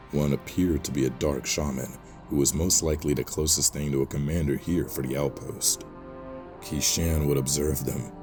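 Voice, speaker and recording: A man giving solemn, measured, scripted narration for a fantasy audio drama. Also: very deep voice, gravelly. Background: music under the voice.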